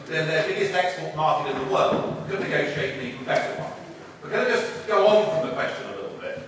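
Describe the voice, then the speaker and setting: A man with a fairly high voice that rises and falls a great deal delivers a rhetorical campaign speech laced with dry humour. He is captured from a distance in a big hall full of echo. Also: fairly bright tone, slight background noise. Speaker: party leadership candidate. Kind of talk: hustings speech. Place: public meeting hall. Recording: echoey hall from far off.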